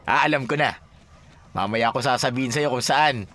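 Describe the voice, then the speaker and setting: A man's voice: medium pitch, normal speed, a bit bright, lightly acted. A man playing a cheerful, chummy office manager in a Filipino radio drama, chatty and upbeat, with a Filipino accent. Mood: chummy, informative. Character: cheerful general manager, chummy colleague sharing news.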